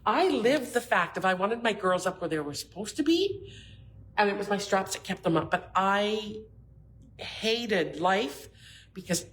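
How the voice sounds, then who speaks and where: A woman's voice: medium pitch, a little slow, rough recording. Woman, middle-aged, big voice, bra fitter, casual shop chat, faint background noise. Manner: lively storyteller, acts out customers' lines. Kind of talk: casual shop talk with stories.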